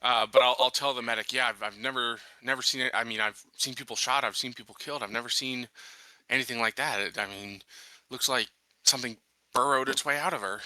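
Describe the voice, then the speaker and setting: A man with a strikingly bright, tense-edged voice of middling pitch talks as a player at a roleplaying table. He is casual and hesitant, restating his thoughts. The recording is dry and close. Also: fairly even intonation, a little fast, dry recording, rough recording. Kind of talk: casual in-character table talk.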